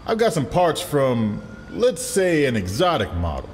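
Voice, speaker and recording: An actor playing an earnest, ambitious district attorney in an audio drama, with a measured, persuasive way of talking. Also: male voice, mid-range voice, wildly swooping pitch, a little mellow. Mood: casual, probing. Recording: long reverb, music underneath.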